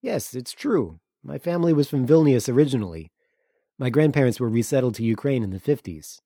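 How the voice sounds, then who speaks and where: A man's voice: medium pitch, lively intonation, fairly quick, dark tone, dry recording, clean studio sound, voice pushed up. A man voicing a weary, blunt Crimean local. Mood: weary frank. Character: weary cynical Crimean local man, world-wise and guarded. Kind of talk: audiobook character dialogue.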